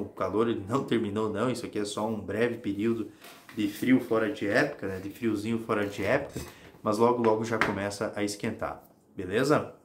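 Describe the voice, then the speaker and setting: A man's voice: on the low side, a little hiss or hum. A man in his thirties with a southern Brazilian accent, giving a colloquial weather forecast as a meteorologist and often checking in with his viewers. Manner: colloquial, explanatory, addresses viewers with frequent check-in tags. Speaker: meteorologist, weather channel host. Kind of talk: informal weather forecast to camera. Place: small home office with monitors, indoors.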